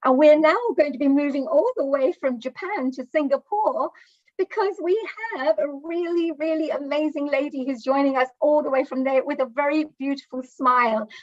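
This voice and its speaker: High-voiced effusive woman host, dull sound. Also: normal speed, no room sound. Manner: warm, effusive, with many fillers. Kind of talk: livestream interview hosting.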